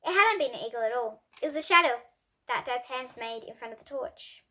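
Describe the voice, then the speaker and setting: A young girl reading a children's bedtime story aloud in an expressive way. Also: fairly high pitch, fairly quick. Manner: expressive, with emphatic character dialogue.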